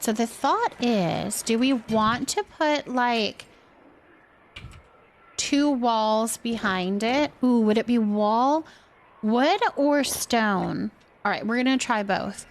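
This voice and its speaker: A woman's voice: a little high, slow pace. A woman hosting a livestream, chatting casually and thinking aloud as she plays a building game. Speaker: livestream gaming host. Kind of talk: casual chat while playing a building game.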